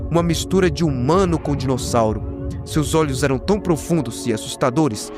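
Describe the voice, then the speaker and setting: A man's voice: on the high side, animated, normal speed. A man with a Brazilian accent narrating a horror recap dramatically and expressively, with slangy jokey asides. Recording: voice over prominent background music. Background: music.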